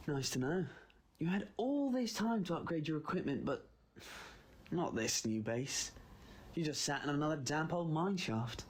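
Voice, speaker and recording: A man's voice: a little high, animated, moderate pace. A man with a British accent playing a wry, confident time traveler in a sci-fi audio drama, theatrical and flippant. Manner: flippant, theatrical, swinging from playful to grave.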